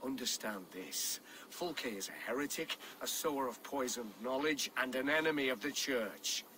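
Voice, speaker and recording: An actor playing a pious, vengeful medieval Saxon nobleman in a video game, declaiming forcefully. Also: mid-range voice, animated, moderate pace, noisy background, lightly acted. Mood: scornful.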